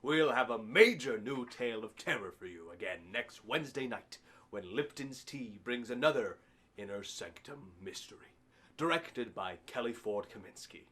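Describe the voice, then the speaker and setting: An actor playing a macabre, punning horror host in a mock-sinister 1940s radio style. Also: male voice, medium pitch, animated, normal speed, sharp tone, slightly rough. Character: macabre, pun-loving horror show host with sinister chuckle.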